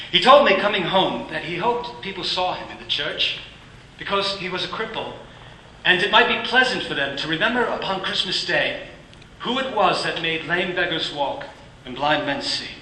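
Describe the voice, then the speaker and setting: A man's voice: sits high, very animated, normal speed, full character voice. Male actor as a cheerful clerk, radio play, faint hiss. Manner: warm, laughing. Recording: echoey room with faint hiss.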